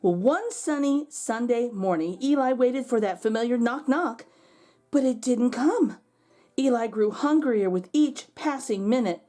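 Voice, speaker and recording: A woman reading a children's storybook aloud, expressively and dramatically, with drawn-out words. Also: on the high side, animated, mellow. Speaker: children's read-aloud host. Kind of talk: expressive storybook narration for kids.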